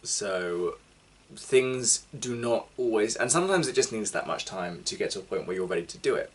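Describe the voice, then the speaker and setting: Deep, husky young man in casual chat. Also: animated. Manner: earnest, measured explaining. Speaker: co-host.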